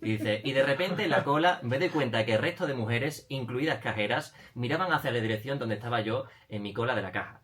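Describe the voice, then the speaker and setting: A man's voice: mid-range voice, brisk, few pauses, a bit bright. A man with an accent from Spain hosting a casual entertainment interview in a jokey, giggly manner. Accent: Spanish (Spain). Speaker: radio show interviewer.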